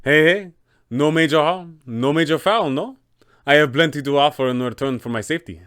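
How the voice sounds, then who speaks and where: A man's voice: a little high, moderate pace, fairly bright tone, smooth, dry recording, lightly acted. Tabletop villain: male performer as swashbuckling rogue captain, silky, flamboyantly polite, theatrical, dry sound. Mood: pleading. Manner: flamboyant, mock-polite phrasing. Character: suave, roguish pirate captain, theatrical and mock-courteous.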